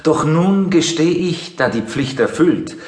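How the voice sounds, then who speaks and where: A man's voice: fairly high pitch, animated, measured pace, a little mellow, echoey room. Formal, eager young nobleman. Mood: respectful, earnest. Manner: formal, courtly. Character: respectful, eager young nobleman addressing his king. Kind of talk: classical audio drama acting.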